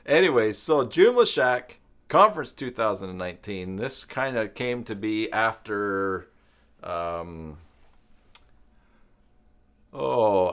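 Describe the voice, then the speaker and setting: A man hosting a casual live stream, thinking aloud in a halting, rambling way as he browses. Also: lowish voice, a bit bright, clear, resonant tone. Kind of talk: casual live stream chat, partly reading web pages aloud.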